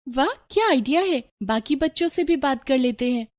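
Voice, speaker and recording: Woman voicing an excitable high-pitched schoolgirl. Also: moderate pace. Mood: scheming. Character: scheming, excitable young schoolgirl.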